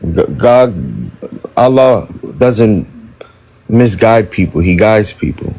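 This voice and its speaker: Stammering low mellow-voiced man. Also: slow. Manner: stammering, repeats words. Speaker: debate participant. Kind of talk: online debate.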